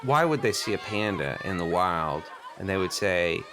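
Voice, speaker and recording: Man playing a low-voiced, rambling inquisitive little boy. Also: expressive, normal speed, noisy background. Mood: baffled, insistent. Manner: hesitant stammers, rambling run-on questions. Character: inquisitive, precocious eight-year-old boy, earnest and pedantic. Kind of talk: improvised comedy radio play.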